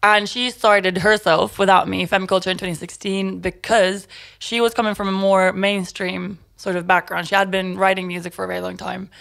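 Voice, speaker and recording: A young Italian woman with an American accent talks freely as a podcast guest, her voice dipping into a gravelly fry. She rambles in a quick, friendly flow and laughs at her own word slips. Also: medium pitch, a bit bright. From Italy. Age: 20s. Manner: chatty and fast-flowing, laughs at herself. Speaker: podcast guest, music collective organizer. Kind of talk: casual podcast interview.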